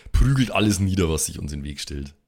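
A man's voice: deep voice, lively intonation, quick pace, darkish tone, husky. A man playing on a tabletop game podcast, talking in a casual, conversational way. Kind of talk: casual chat.

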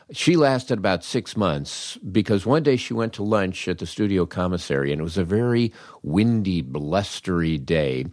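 Older american guy w a low voice, wry podcast storyteller, acts out dialogue, real dry sound. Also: no room sound. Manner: wry, animated, acts out dialogue with dramatic pauses. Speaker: podcast host, comedy writer. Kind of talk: humorous first-person storytelling monologue.

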